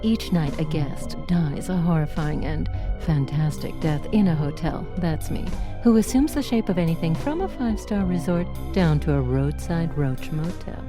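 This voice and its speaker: A woman's voice: low-pitched, expressive, normal speed, few pauses, over music. A woman voicing a sinister hotel that kills its guests, in a scripted horror podcast promo, gloating.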